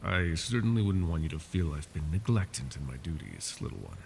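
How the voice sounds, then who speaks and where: A man voicing a wounded male dragon who keeps a human captive, soft-spoken and polite, often slipping into whispers, for an ASMR fantasy roleplay. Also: very deep voice, big pitch swings, fairly quick, creaky, slight background noise, full character voice. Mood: doting. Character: wounded male dragon, possessive yet doting captor.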